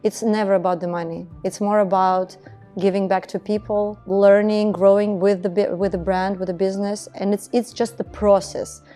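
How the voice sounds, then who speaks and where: A woman's voice: mid-range voice, little pitch movement, moderate pace, mellow, soft background music. A woman, speaking earnestly and reflectively as a business founder in a documentary interview. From Estonia. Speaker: entrepreneur, beauty business founder.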